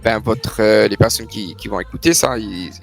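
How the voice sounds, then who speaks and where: A Canadian man, an immigration lawyer, explaining things in a measured way with frequent fillers. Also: fairly low pitch, gravelly, soft background music. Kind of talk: casual interview answers.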